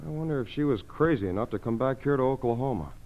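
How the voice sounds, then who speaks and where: A man's voice: mid-range voice, expressive, fast talker, slight background noise, lightly acted. Casting: man to play G-man partner, dry and sardonic, mid-range voice; vintage radio drama, lo-fi. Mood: speculating. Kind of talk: 1940s radio crime drama acting. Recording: dull vintage radio recording.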